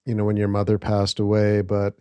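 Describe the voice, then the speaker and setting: A man hosting a podcast, introducing his guest and asking interview questions in a friendly, measured way with some hesitations. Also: fairly low pitch, monotone, dark tone, smooth, dry recording. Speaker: podcast host.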